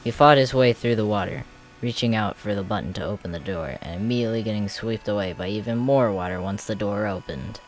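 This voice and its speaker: A man's voice: lowish voice, brisk, rough recording. A man narrating a comic dub in a dramatic scripted storytelling style. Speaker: narrator and voice actor. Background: music.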